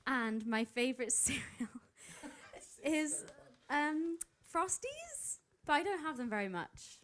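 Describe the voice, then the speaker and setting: A woman with a London English accent and a distinctly high voice. She speaks casually, introducing herself to a group as a singer. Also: big pitch swings, takes it slowly, fairly bright tone. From London, England. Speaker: soprano and alto singer in a vocal group.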